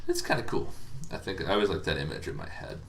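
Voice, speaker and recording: A man's voice: deep voice, big pitch swings, fast talker, vocal fry, a little hiss or hum. A man hosting a casual, informal explainer on tabletop game monsters, talking in a rambling, hesitant way as if thinking aloud.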